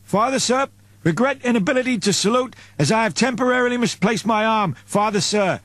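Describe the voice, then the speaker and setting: A man's voice: sits high, a little fast, fairly bright tone, dry recording, voice pushed up. A man voicing a wounded, dutiful, dim drone servant with a deferential, formal manner in an audiobook. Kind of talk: audiobook character voice.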